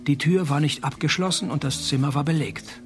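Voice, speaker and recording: A man playing a wisecracking, cocky private detective in a radio play, speaking with dry, deadpan irony. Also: on the high side, a little fast, soft background music, natural voice. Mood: deadpan narrating. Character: wisecracking, cocky male private detective posing as night porter. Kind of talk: radio play dialogue and first-person detective narration.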